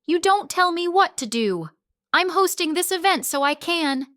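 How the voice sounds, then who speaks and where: A woman's voice: extremely high pitch, lively intonation, normal speed, a bit bright, dry recording, studio quality, pitched above the performer's own voice. A woman voicing a hostile young woman who screams and shrieks at everyone. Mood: shrieking. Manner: shrieking, arrogant.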